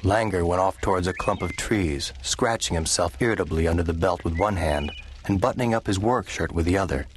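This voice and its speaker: A man's voice: low voice, brisk, a little hiss or hum, close to an everyday voice. An actor playing an easygoing young cowboy drifter, telling his story in a reflective, folksy way for a western audio drama. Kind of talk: first-person western audio drama narration.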